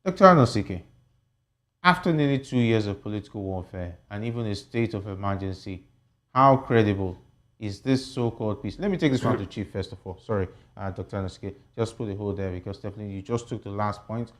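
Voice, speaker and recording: A man's voice: lowish voice, brisk, a bit bright. A man presenting news and putting questions to a panel as a television host. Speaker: TV host and interviewer.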